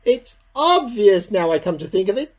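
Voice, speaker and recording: A man's voice: high voice, big pitch swings, moderate pace, background noise. A man playing an eccentric amateur scientist who earnestly records a self-important secret diary in a radio play. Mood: alarmed, amazed. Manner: earnest, self-important, musing aloud in dated log entries.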